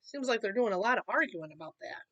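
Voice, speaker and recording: A woman reading a picture book aloud to children, expressive, with casual explanatory asides. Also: on the high side, fast talker, lo-fi sound. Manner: expressive, explaining to young listeners.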